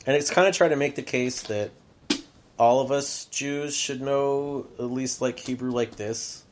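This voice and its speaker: A man's voice: mid-range voice, measured pace, noisy background. A man hosting a podcast in casual chat, rambling with lots of fillers and laughing often. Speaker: podcast host.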